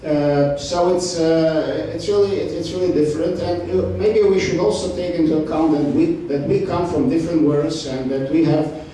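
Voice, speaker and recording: A man speaking on a discussion panel, halting, with many fillers and restarts. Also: a little high, steady tone, dark tone. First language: Romanian. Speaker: panel participant. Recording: echoey hall, distant mic, faint noise.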